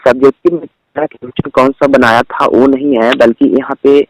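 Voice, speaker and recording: A Bihari-accented man talks on a recorded phone call as if from an office. His pitch is average and the sound is dry. He persuades by going round and round his explanations. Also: fairly even intonation, fairly quick, no echo. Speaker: phone caller posing as board office official.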